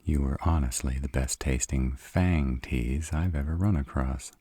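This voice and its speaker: A man playing a sarcastic, brooding vampire with a soft spot, dry and grudging, in a roleplay audio drama. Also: extremely low pitch, a little slow, breathy edge, no room sound, full character voice. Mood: teasing, dry.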